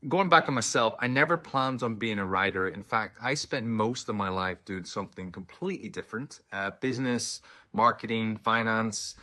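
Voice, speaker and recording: A man's voice: on the low side, a bit bright, dry recording, studio quality. A man in his forties with a Northern Irish accent, an author talking earnestly about his work, with frequent ums and restarts. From Northern Ireland. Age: 40s. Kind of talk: direct-to-camera talk.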